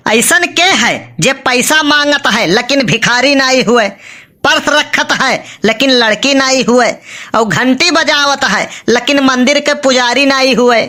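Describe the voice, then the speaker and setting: A woman plays a skeptical cartoon villager with a high, bright, cutting voice and an Awadhi accent. The figure is picky and argumentative, answering in dry, colloquial comic retorts. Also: measured pace. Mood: challenging.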